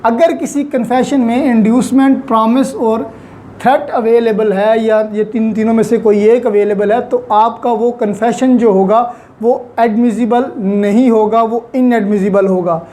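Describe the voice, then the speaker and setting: A woman with a Pakistani accent giving an explanatory law lecture, deliberately and with repeated key points. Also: on the high side. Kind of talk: explanatory lecture mixing in English legal terms. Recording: faint steady background noise.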